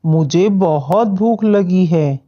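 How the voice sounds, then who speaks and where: A woman's voice: on the low side, takes it slowly, warm tone. A woman voicing a hungry male eagle in a cartoon, a taunting, threatening bully. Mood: menacing, mocking. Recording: dull, muffled lo-fi audio.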